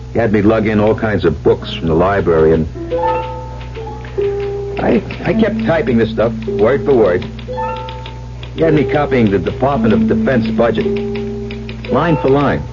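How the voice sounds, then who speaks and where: Animated, slangy working-stiff guy. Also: lowish voice. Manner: colloquial, slangy, griping. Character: uneducated, exasperated working-stiff male typist, plain-spoken. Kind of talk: 1970s radio drama acting and first-person narration. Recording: muffled old radio broadcast with music.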